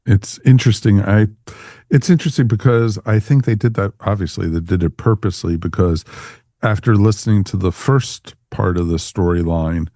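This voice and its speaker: A man's voice: lowish voice, moderate pace, mellow, no room sound, clean studio sound. A man talking informally as a panelist on a review podcast. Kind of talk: casual review chat.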